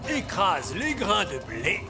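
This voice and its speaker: A man's voice: sits high, takes it slowly, heavily acted. A man voicing a wise old male elf miller in a children's cartoon, explaining things expressively like a patient teacher. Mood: instructive. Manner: didactic, exclamatory, expressive. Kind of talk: children's cartoon dub acting. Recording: music behind the voice.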